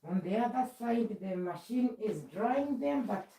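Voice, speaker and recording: A woman's voice: fairly high pitch, lively intonation, a little slow, dark tone, far from the mic. A middle-aged woman whose first language is Swahili, chatting casually on a live stream while she does chores at home. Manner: chatty, moves around doing chores while talking. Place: home, indoors. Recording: roomy sound, speaker often away from the mic.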